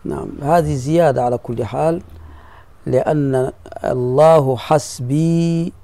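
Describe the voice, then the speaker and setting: A man teaches grammar to his students, going over each point patiently and repeating key phrases. His voice is dark and mellow. The sound is dry and close. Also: medium pitch, measured pace, no echo. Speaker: sheikh teaching Arabic grammar. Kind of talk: classroom explanation of a grammar poem.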